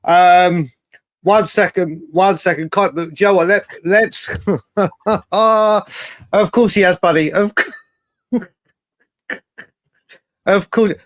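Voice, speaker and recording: English male football show host, fairly high voice, dry sound, matey and digressive live banter. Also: steady tone, takes it slowly, pauses often, dry recording. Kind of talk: casual live fan debate.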